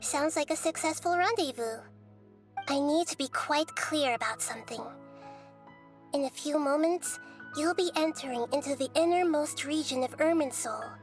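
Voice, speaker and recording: A woman playing a calm, gentle, wise young ruler in a video game, speaking in a measured, earnest way. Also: sits high, soft background music, natural voice.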